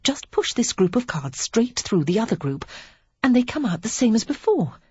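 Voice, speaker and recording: A woman putting on a teasing young card sharp. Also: fairly high pitch, big pitch swings, moderate pace, husky, no room sound, dull audio, pitched above the performer's own voice, exaggerated voice. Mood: playful. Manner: teasing, playful.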